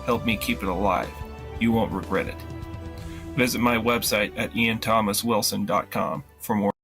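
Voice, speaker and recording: Low-voiced man narrating over music. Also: normal speed, vocal fry, fairly bright tone. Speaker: illustrator promoting his own work. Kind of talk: scripted promotional narration. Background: background music.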